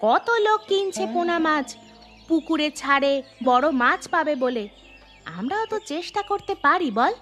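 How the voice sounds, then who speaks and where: A woman's voice: high voice, expressive, normal speed, fairly bright tone, faint music behind. A woman voicing an encouraging cartoon village woman, persuasive and reassuring in her dialogue. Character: encouraging village woman planning a fish-fry business.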